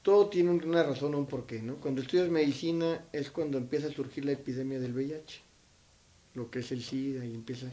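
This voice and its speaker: A man's voice: mid-range voice, steady tone, fairly quick, mellow. A middle-aged man with a central-northern Mexican accent, answering as an interview guest in casual conversational recollection. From Zacatecas, Mexico. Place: his own home, indoors.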